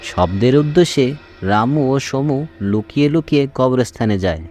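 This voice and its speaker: A man's voice: medium pitch, lively intonation, normal speed, a little mellow. A man reading scripted narration for a children's cartoon story.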